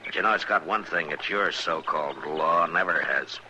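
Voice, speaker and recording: An American actor playing a firm, steady Old West marshal in a radio western, with a dry, measured, authoritative delivery. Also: male voice, rumbling low. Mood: firm, warning. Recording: old radio broadcast with background hiss.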